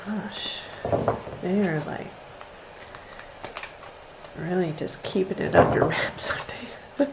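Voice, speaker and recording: Slow-talking congested nasal woman. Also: fairly low pitch, gravelly. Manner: chatty, thinks aloud, laughs often. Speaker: oracle card reader. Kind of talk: casual livestream card reading. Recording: slightly dull lo-fi sound with faint noise.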